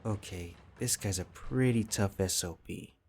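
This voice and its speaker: Deep-voiced man narrating a fantasy story. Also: unhurried, darkish tone. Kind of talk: scripted second-person fantasy story narration. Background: faint music.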